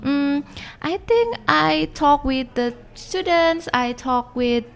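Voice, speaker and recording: A young Japanese-native woman teaching English, speaking in a radio interview and often pausing to search for words. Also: high voice, creaky, slight background noise. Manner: searches for words, pauses mid-sentence. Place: radio studio.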